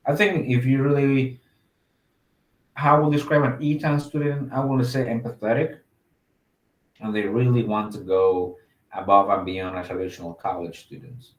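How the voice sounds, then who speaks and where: A man's voice: mid-range voice, level tone, moderate pace, reverberant, low-quality recording. A man from Mexico, an admissions officer, answering interview questions in a casual, upbeat way with many fillers.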